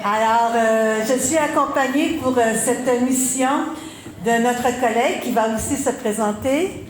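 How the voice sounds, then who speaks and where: A woman with a Quebec French accent introducing herself and the next speaker, halting and full of fillers. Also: on the high side. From Quebec, Canada. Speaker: conference moderator and expert. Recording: echoey hall with faint noise.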